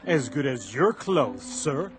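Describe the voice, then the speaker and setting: An actor playing a stern, gruff, old-fashioned club doorman in a radio drama, curt and indignant. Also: medium pitch, sing-song, takes it slowly, music in the background.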